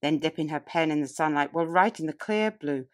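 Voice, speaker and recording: A woman narrating a nonfiction audiobook as scripted reading, in a deliberate, emphatic, oratorical way. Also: low voice. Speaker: audiobook reader. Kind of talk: scripted narration of a public-speaking textbook.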